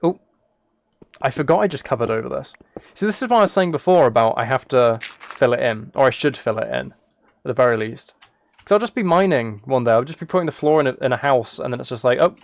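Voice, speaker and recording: A man streams live in a relaxed, soft-spoken manner, with a mid-pitched voice. He rambles and thinks aloud as he games, chatting casually to viewers. Keyboard typing can be heard as he talks. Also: brisk, no echo. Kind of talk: casual livestream chat while gaming.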